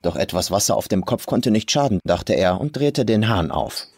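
A man reading a scripted horror story with atmospheric, deliberate delivery. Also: on the low side, very animated, creaky, a little mellow, slightly rough. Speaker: professional voice actor, audiobook reader. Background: atmospheric music.

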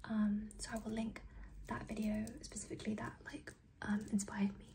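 A young woman in her twenties chatting in a soft ASMR whisper, repeating words slowly as triggers, recorded close to the mic in a small bedroom. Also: mid-range voice, completely flat delivery, a little hiss or hum. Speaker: ASMR creator. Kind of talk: whispered ASMR outro chat. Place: small bedroom with red lights. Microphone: close mic, facing camera.

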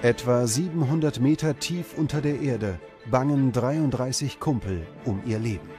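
A man reading scripted documentary narration in a measured, serious way. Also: mid-range voice. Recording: music under the narration. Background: background music.

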